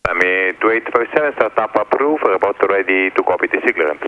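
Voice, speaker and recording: A man's voice: low voice, expressive, fairly quick. A male air traffic controller with an Italian accent gives procedural instructions over aviation radio. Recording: narrow, phone-like radio with faint static.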